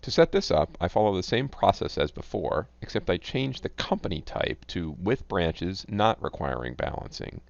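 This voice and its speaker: Deep-voiced man reading a software tutorial. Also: sing-song, normal speed, gravelly, hardly any pauses, dry recording. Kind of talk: scripted instructional narration. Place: close, dry voice-over recording. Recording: dull, lo-fi close voice-over.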